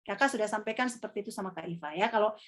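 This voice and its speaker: A woman's voice: mid-range voice, rapid-fire. A young woman teaching a workshop for hosts, chatting casually and filling gaps with "eh" and "apa". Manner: chatty, calls herself Kakak, many fillers. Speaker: MC trainer.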